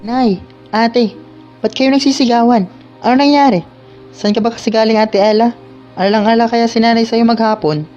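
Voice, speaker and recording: A dutiful teenage brother in a school radio drama, played by a man. He is polite and deferential to his elders. The recording is slightly dull, with soft music behind. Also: very high voice, fairly even intonation, normal speed, pauses often. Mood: alarmed. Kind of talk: amateur radio drama acting. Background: background music.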